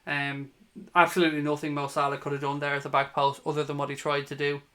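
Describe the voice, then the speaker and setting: Male livestream football host, Dublin accent, fairly high voice, blunt fan talk with swearing. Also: completely flat delivery, fast, bright, forceful tone. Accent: Dublin Irish. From Ireland, from Dublin. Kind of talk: live football watch-along commentary, chatting with viewers.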